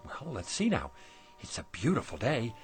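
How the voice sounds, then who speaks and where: A man playing an excitable, generous husband who runs a poor antique shop, in a radio drama. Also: medium pitch, normal speed, faint music behind. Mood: eager, coaxing.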